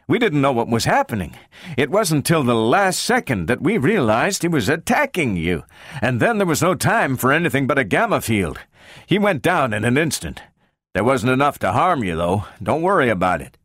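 A man's voice: mid-range voice, very animated, moderate pace, fairly bright tone, no echo, clean studio sound, pitched above the performer's own voice. A man playing an earnest male technician in an audiobook, explaining things firmly and plainly. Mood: breathless relief. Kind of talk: dramatic audiobook character reading.

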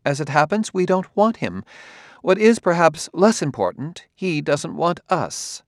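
A man's voice: a little high, very animated, unhurried, no echo, clean studio sound, pitched above the performer's own voice. A man voicing a haughty, condescending woman scientist in an audiobook, speaking precisely as if lecturing. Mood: cool, irritated. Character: haughty, cool woman scientist of a spacefaring world.

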